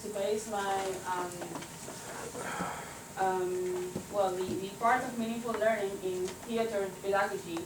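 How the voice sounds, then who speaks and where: A woman presenter speaks from a distance in an echoey conference room, with loud noise behind her. She pauses often with fillers as she explains her ideas. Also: medium pitch, fairly even intonation. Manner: hesitant, many fillers. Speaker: workshop presenter. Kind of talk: guided imagery then academic talk.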